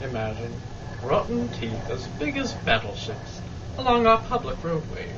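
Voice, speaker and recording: A man's voice: sits high, huge pitch swings, unhurried, heavily acted. A man playing a hearty, self-important tycoon in an old-time radio comedy. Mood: hearty, scheming. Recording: muffled, distant live recording, background noise.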